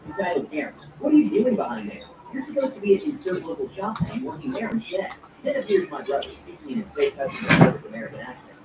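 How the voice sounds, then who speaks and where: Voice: medium pitch, very animated, fast, hardly any pauses. A text-to-speech voice reading online stories aloud, spelling out abbreviations. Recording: distant echoey speaker audio, dull and noisy.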